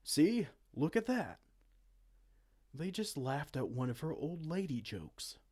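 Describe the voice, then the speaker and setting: A man's voice: fairly high pitch, sing-song, takes it slowly, darkish tone, husky. A man playing a dorky, petulant evil king in a comedic fantasy roleplay, pompous, theatrical and exasperated. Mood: confiding. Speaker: amateur roleplay audio performer.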